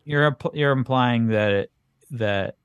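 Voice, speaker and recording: A man's voice: mid-range voice, slow pace, clean tone, no echo, clean studio sound. A man co-hosting a comedy podcast, playing the skeptical straight man who corrects his partner. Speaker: podcast co-host. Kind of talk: casual comedic banter.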